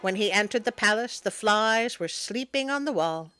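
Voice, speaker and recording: A woman's voice: medium pitch, normal speed. A woman voicing a small furry monster who reads storybook tales expressively, with sardonic, easily sidetracked comic asides. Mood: storytelling. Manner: expressive, wry, interrupts the reading with mock indignation. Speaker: storyteller and host. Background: music behind the voice.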